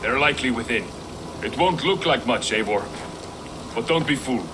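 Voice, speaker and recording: An actor playing an earnest, weary reformed thief who longs for peace, speaking sincerely and reflectively in a video game cutscene. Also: male voice, mid-range voice, expressive, normal speed, background noise, lightly acted. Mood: wary.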